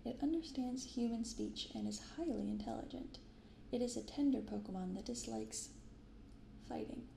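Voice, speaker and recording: Soft-spoken young woman, creaky voice. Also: fairly high pitch. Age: 20s. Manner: soft-spoken, gentle, unhurried. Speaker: host of a card-opening ASMR channel. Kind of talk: soft-spoken ASMR, casual unboxing chat. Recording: roomy binaural recording with clear background noise.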